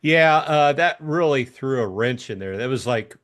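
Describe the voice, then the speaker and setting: A man talks casually as co-host of a book discussion. His voice is mid-pitched and he feels his way through each thought, halting as he thinks aloud. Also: expressive, fairly bright tone.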